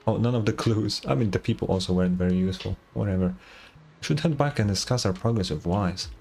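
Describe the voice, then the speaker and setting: A man's voice: on the low side, a little fast, vocal fry, darkish tone. A man giving casual, reactive game playthrough commentary, with some swearing. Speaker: gaming streamer. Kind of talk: game playthrough commentary and read-aloud dialogue.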